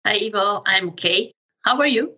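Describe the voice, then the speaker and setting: Bright, halting Serbian-accented woman. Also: medium pitch, expressive, breathy edge, lo-fi sound. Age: middle-aged. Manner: halting, searching for words, non-native phrasing. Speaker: podcast guest, project management officer. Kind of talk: conversational interview answers.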